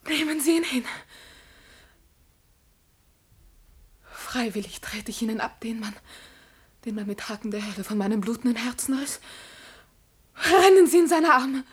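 A woman's voice: high-pitched, a bit bright. An actress playing a proud, earnest young commoner girl in a classical radio drama, with solemn, formal declamation. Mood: anguished, desperate.

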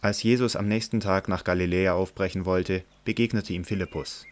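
A man narrates scripture with a very deep voice. His reading is measured and solemn. It is scripted narration for a biblical radio drama. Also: fairly even intonation.

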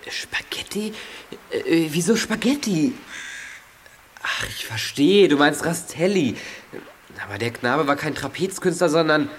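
A man's voice: fairly high pitch, animated, a little slow, slight background noise. An actor playing a clever, impatient teenage boy detective in a German children's audio drama, explaining and scolding in an exasperated way.

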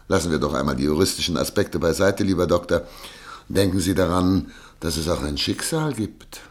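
An actor playing an eloquent, unyielding public prosecutor in a German radio play, with emphatic, formal argument. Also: male voice, on the low side, creaky, heavily acted.

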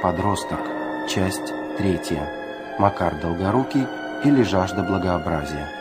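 A man's voice: sits low, unhurried, over loud music. A man acting as the solemn announcer reading titles for a literary audio drama.

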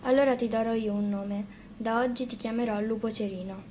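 A woman's voice: fairly high pitch, fairly even intonation, fairly quick, mellow, natural voice. A woman playing a kind, curious young boy in a fairy tale. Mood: friendly. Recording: faint noise, live radio reading.

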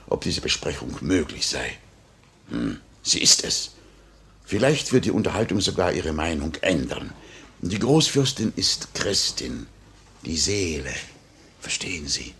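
An actor playing a smug, cynical police chief in a 1950 radio play, suave and sardonic, coaxing and mocking in a measured theatrical way. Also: male voice, very deep voice, fairly bright tone, full character voice.

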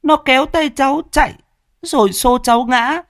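Scared tearful little boy, high and stammering. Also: moderate pace, a bit bright, no echo, clean studio sound. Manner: stammering, whimpering.

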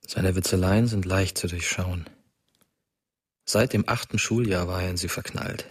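A brooding, low-voiced dead teenage boy. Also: fairly even intonation, unhurried, slightly rough. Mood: wistful. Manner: reflective, rhetorical questions. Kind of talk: German radio drama narration, intimate monologue. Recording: music under the voice. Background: prominent music.

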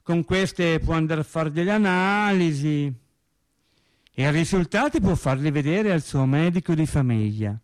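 An actor playing a naive working-class man in a radio drama monologue, telling his sad story in a colloquial, halting, wry way. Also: fairly high pitch, lively intonation, no room sound, natural voice. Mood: wry, bewildered. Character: naive working-class man recounting his cancer and death, resigned, tender.

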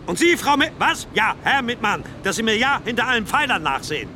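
An actor playing a bossy male railway official who gives brisk commands. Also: big pitch swings, sharp tone, full character voice. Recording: radio play, rumbling noise, off-mic.

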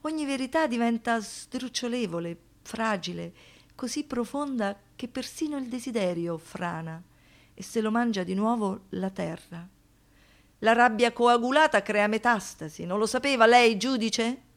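An actress playing a haunted, wounded woman in a poetic radio monologue, speaking with intense deliberation, dramatic pauses and rhetorical questions. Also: a little high, expressive. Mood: pleading, defiant. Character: haunted, wounded woman, lyrical, pleading and defiant.

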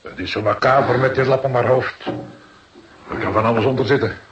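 A man playing a gruff, grumbling police photographer in a radio drama, describing things vividly. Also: medium pitch, steady tone, a little fast, lightly acted. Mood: uneasy, insistent. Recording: muffled radio recording with clear noise.

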